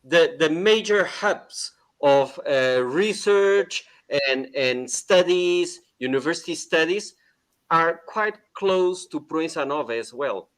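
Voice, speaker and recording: Man moderating, fairly high voice. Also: lively intonation, slow pace, a bit bright. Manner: repeats words, restarts questions. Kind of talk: online panel discussion.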